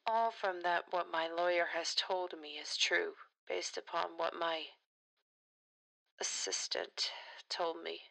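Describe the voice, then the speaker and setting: A woman playing a principled, defiant politician in an audio drama, speaking in a measured, earnest way. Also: lowish voice, fairly even intonation, vocal fry, a bit bright, exaggerated voice, low-quality recording. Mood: dry, defensive.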